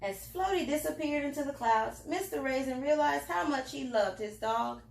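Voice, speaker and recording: A woman's voice: sits high, normal speed, vocal fry. A woman reading a picture book aloud to children, expressively and with little asides to the listeners. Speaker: library storytime reader. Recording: roomy, echoing indoor sound.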